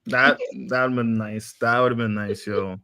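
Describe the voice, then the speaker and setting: A man's voice: medium pitch, fairly even intonation, measured pace, bright, forceful tone, clean tone. Casual, slangy male podcast host. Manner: slangy, hype-man phrasing. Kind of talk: casual group chat.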